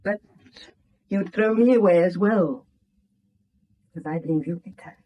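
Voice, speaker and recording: An actress playing an anxious, embittered wife in a British radio drama, emotional and cutting, with a British accent. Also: female voice, on the low side, measured pace, muffled recording. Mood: wounded resolve. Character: anxious, embittered wife, pleading and sharp-tongued. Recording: vintage 1970s radio drama recording.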